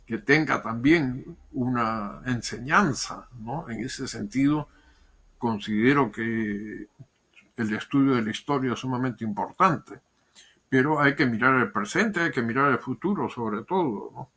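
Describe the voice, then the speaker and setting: An older man, a historian and diplomat, giving measured, thoughtful interview answers with pauses and repeated words. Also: mid-range voice, fairly bright tone.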